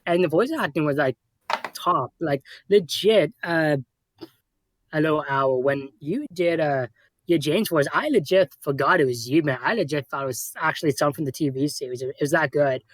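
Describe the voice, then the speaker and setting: A man's voice: a little high, moderate pace, no echo, poor audio. A man chatting casually as a commentary guest, giving his opinions in an informal way. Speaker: commentary guest and amateur voice actor.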